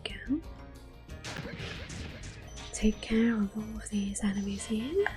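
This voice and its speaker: A woman doing ASMR game commentary in a soft, breathy whisper, gentle and unhurried. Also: mid-range voice, over music. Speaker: ASMR gameplay host.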